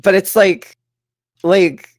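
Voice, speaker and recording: A woman talks at a slow, unhurried pace in a casual podcast discussion. She is informal and blunt, padding her sentences with filler words. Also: medium pitch, fairly even intonation. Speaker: podcast panelist. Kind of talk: casual group podcast chat.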